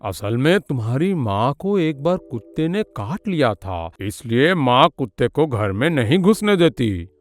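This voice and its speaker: A man playing a gentle, comforting father in a cartoon, speaking in a soothing, measured way. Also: medium pitch, very animated, no room sound.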